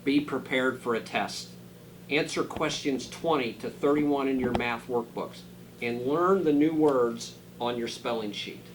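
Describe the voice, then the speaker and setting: A man's voice: mid-range voice, unhurried, background noise, natural voice. A man voicing a strict, bossy woman schoolteacher from a storybook, barking commands. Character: stern, fearless woman schoolteacher, cruel and bossy.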